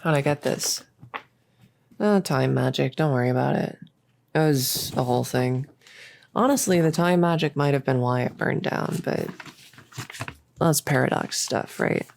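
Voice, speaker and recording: A woman's voice: rumbling low, unhurried, vocal fry, pauses often, darkish tone, slight background noise, full character voice. A young woman playing a bossy, giddy, possessive cultist in an intimate roleplay audio, rambling in a casual, smug, playful way. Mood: rambling, smug explaining. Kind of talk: intimate roleplay audio, improvised-sounding monologue.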